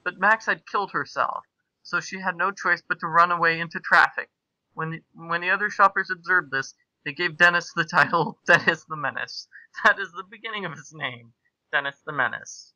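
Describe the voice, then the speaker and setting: A man's voice: fairly high pitch, normal speed, sharp tone, no room sound, lo-fi sound. A man hosting a podcast, reading comic fan fiction aloud in a mock-grandiose, theatrical way.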